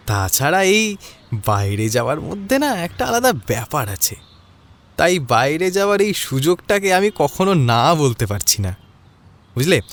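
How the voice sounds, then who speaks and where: Chatty office bloke explaining stuff to a friend, highish lively voice, audio drama. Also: male voice. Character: chatty male office worker, narrator's friend.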